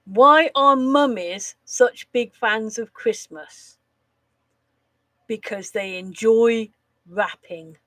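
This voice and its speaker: A woman's voice: fairly high pitch, extremely slow, fairly bright tone, no room sound. A woman with a slightly nasal voice from a cold, casually live-streaming. She tells corny jokes, giggles at them and swears now and then. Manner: giggles at her own jokes, swears casually, groans at bad puns.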